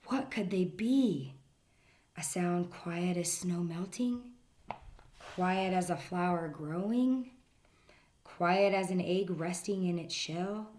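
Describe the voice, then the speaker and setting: A woman reading a children's picture book aloud in a gentle storytelling manner, sometimes dropping to a whisper. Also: fairly low pitch, takes it slowly. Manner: gentle storytelling, drops to whispers for the quiet parts.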